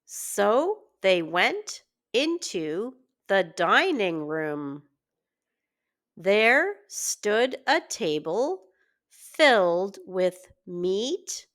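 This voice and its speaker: Very slow, expressive woman reading to children. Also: mid-range voice. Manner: deliberate, word-by-word phrasing for early readers. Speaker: teacher reading aloud. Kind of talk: children's story read-aloud, scripted narration.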